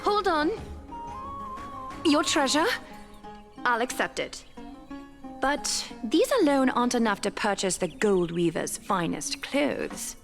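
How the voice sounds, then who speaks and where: An actress playing an elegant, composed, wealthy seamstress in a video game, speaking in a measured, knowing way. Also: female voice, on the high side, expressive, gravelly, soft background music, exaggerated voice. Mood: gracious, knowing. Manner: poised, measured, gently knowing.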